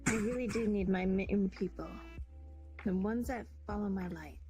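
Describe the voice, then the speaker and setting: A woman's voice: medium pitch, normal speed, over music. Earnest woman pleading in a roleplay. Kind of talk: casual in-character roleplay.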